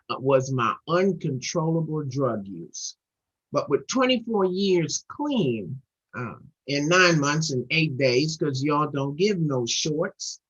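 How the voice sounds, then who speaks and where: A woman's voice: low voice, a bit bright, breathy edge. A middle-aged woman from Trinidad and Tobago, speaking as the guest at a recovery meeting and sharing her story earnestly, often repeating words as she gathers momentum. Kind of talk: personal recovery share. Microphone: video call. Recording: dull lo-fi video call audio.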